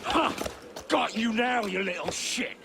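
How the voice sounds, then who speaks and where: An actor playing an aggressive, blustering, vengeful blacksmith in a video game cutscene. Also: male voice, high voice, measured pace, gravelly, noisy background. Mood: accusing. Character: hot-tempered bullying blacksmith, vengeful accuser.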